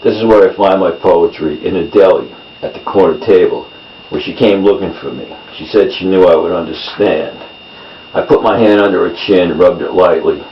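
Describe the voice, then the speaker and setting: A man reading his own poems in a measured delivery, in short phrases. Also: low voice. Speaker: poet. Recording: roomy, distant mic, dull sound, faint noise.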